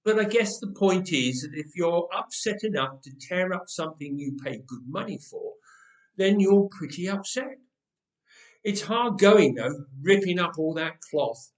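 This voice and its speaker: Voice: mid-range voice, lively intonation, measured pace. A reader narrating a comic first-person story with dry humour, recorded in an echoey room.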